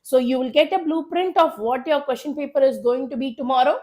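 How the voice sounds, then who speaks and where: High-pitched South Indian woman teacher, hoarse. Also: a little fast, darkish tone. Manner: urging, repeats phrases for emphasis. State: hoarse from a cold. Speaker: chemistry teacher. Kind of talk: live online exam revision class.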